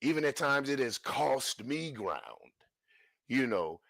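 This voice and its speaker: A man's voice: medium pitch, lively intonation, takes it slowly, a bit bright, no echo, clean studio sound. Slangy, emphatic male livestream host. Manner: slangy, emphatic, repeats phrases. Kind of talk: casual talk-show monologue.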